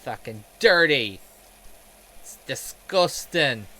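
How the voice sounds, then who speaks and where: A man's voice: medium pitch, animated, slow, pushed and bright. A man in a casual, rambling game commentary, sweary, self-deprecating and sarcastic. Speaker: game streamer telling stories while playing.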